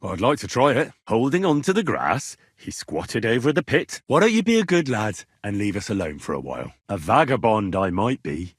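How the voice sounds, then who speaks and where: A man playing a young, earnest, plucky medieval blacksmith turned adventurer in a video game, with a plain-spoken, expressive delivery. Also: lowish voice, moderate pace, hardly any pauses, slightly rough, dry recording, clean studio sound. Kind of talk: video game voice acting, dramatic dialogue lines.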